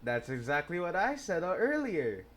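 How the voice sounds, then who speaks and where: A man's voice: on the high side, huge pitch swings, normal speed. A man giving casual, playful playthrough commentary as a gaming streamer, often muttering reactions. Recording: loud game music behind.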